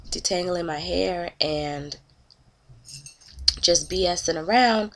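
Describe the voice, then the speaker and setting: A woman's voice: fairly low pitch, fairly bright tone. A woman in her thirties with a cold, sounding congested and nasal, chatting and gossiping to camera from home. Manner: chatty, openly disgusted commentary. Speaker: vlogger. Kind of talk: casual gossip chat. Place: at home, indoors.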